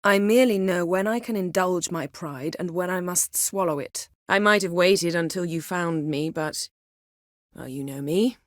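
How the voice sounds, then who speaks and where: Haughty sorceress for a fantasy game , played by a woman: lowish female voice, imperious, cutting, wry and formal, guarded yet devoted; very dry studio sound. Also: normal speed, no room sound, close to an everyday voice. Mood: composed, earnest. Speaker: video game character. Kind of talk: acted video game dialogue.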